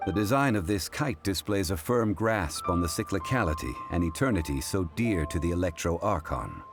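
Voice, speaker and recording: A man voicing a learned, composed funeral parlor consultant in a game, speaking in a formal, measured way. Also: deep voice, lively intonation. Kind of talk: game voice acting. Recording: game cutscene with music.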